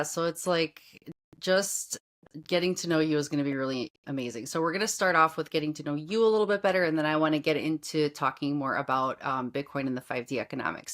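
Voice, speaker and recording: A woman with a notably low voice hosting a podcast conversation. She chats casually and gushes, piling on enthusiastic praise for her guest. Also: a bit bright. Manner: effusive and gushing. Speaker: podcast host. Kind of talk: casual interview chat.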